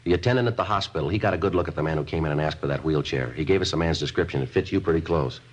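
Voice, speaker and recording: Deep-voiced, deadpan police detective. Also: male voice, very fast, husky. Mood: pressing, skeptical. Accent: American. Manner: deadpan, matter-of-fact, terse. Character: clipped, unflappable male police detective narrating his case. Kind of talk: old-time radio crime drama narration and acting. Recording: dull old radio recording, music behind. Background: music.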